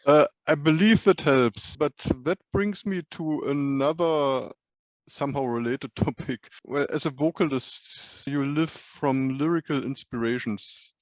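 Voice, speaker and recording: A man's voice: mid-range voice, dry recording, muffled recording. A man interviewing a musician, asking long, winding questions that end in tags like "isn't it".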